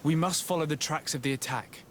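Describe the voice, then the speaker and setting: A man playing a composed, courteous young diplomat-adventurer in a video game, speaking in measured, earnest, formal phrases. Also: on the high side, slight background noise, close to an everyday voice. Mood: composed, grave. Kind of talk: video game cutscene acting.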